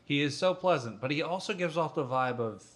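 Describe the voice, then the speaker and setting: A man's voice: on the high side, expressive, brisk, fairly bright tone. A man co-hosting a casual, bantering chat in a crude, jokey manner. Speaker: podcast co-host.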